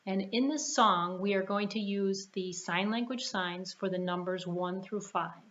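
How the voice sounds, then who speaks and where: Slow-paced woman in an echoey room. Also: medium pitch, a bit bright. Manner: encouraging, simple repetitive phrasing with questions to children. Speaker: children's storytime host at a library. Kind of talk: sing-along storytelling for young children. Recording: roomy echo, dull sound, background noise.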